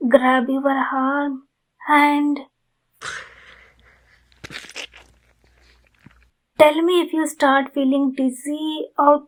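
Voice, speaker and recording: Woman acting a gentle, high-voiced, unhurried vampire lady. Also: poor audio. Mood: gentle, hesitant. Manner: gentle, apologetic, reassuring. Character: kind, polite, weakened female vampire neighbor. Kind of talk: ASMR roleplay.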